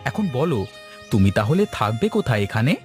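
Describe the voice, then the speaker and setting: A man's voice: mid-range voice, very animated, brisk, faint music behind, lightly acted. A man voicing a kind, curious young village lad in a cartoon, polite and inquisitive. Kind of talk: Bengali moral-story cartoon dubbing.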